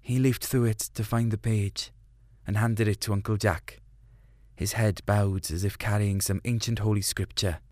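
A man's voice: on the low side, fairly even intonation, normal speed, a little mellow, no echo, clean studio sound. A man reading a first-person story in a colloquial, melancholic way. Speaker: short story narrator.

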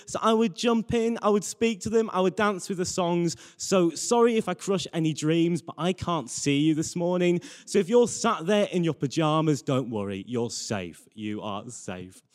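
A man's voice: fairly high pitch, darkish tone. A young British man giving an earnest, conversational sermon as a church preacher. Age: young adult. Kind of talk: sermon with Bible reading. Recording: long hall reverb.

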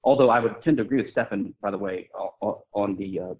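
A man's voice: on the low side, fast, phone-quality sound. A man speaking as a guest author in an informal intellectual discussion, arguing his case and often rephrasing mid-sentence.

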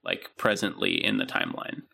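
Gravelly bright-voiced podcast host. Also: male voice, rumbling low, huge pitch swings, brisk. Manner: rambling, many ums and restarts. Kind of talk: casual chat.

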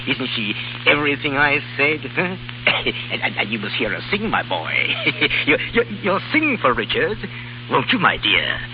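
An actor playing a doting, tipsy older baron who stammers, repeats himself and rambles in 1930s radio drama style. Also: male voice, medium pitch, exaggerated voice. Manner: stammering, repetitive, chuckling. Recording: dull, hissy 1930s radio recording.

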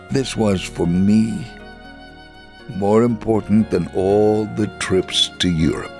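A man reading a first-person testimony as scripted narration, measured and gravelly, acting out the dialogue as he goes. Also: sits low, darkish tone. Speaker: story reader.

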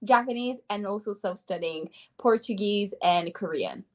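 A woman's voice: mid-range voice, moderate pace. A woman in her twenties with an Italian accent, a native Italian speaker chatting as an upbeat interview guest. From Italy. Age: 20s. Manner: upbeat, chatty, laughs often. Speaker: guest, language learner. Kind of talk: casual interview chat.

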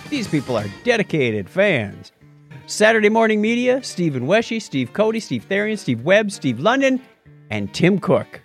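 Male podcast host, casual and jokey, highly animated intonation, slightly high register, music underneath. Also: normal speed, gravelly. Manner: jokey, teasing. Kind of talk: casual podcast chat with patron thank-yous.

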